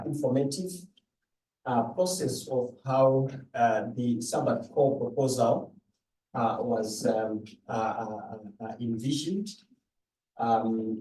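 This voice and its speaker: A man moderates a formal discussion, speaking haltingly in short phrases broken by frequent hesitations. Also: medium pitch, warm tone. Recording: echoey room, distant microphone.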